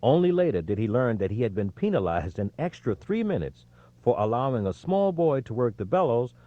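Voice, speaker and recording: A man reading scripted nonfiction as an audiobook narrator, in a measured, expressive storytelling delivery. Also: mid-range voice, no echo, lo-fi sound.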